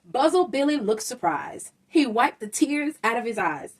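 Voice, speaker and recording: A woman's voice: fairly high pitch, sing-song, moderate pace, far from the mic, rough recording. A woman reading a rhyming children's story aloud, expressively and with dramatic emphasis. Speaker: storybook reader.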